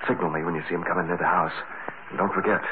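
Actor as brisk deep-voiced crime-fighter, old radio. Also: male voice, fairly even intonation, natural voice. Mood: urgent, commanding. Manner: crisp, decisive, serious. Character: commanding, cool masked crime-fighter giving orders. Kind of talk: 1940s radio acting. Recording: tinny, noisy old radio broadcast.

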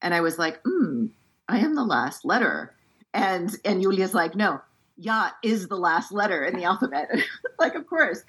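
A woman translator with a thoughtful, measured manner who reads a poem aloud and then explains her choices, often restarting her sentences. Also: medium pitch, big pitch swings, fairly bright tone, husky. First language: English. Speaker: poetry translator. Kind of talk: poetry reading and book-event discussion.

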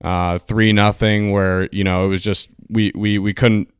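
A man with a lowish, smooth and resonant voice hosts a podcast. The sound is dry and close, and he talks in easygoing, agreeable banter with his guest. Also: level tone, unhurried, dry recording. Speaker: podcast co-host. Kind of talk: casual podcast chat.

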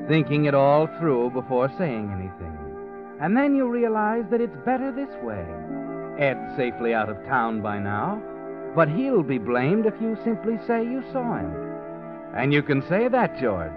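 A man's voice: on the high side, very animated, moderate pace. A man voicing an ominous narrator who talks to the killer in second person, in a 1940s radio drama. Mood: insinuating. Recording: muffled old radio broadcast with music.

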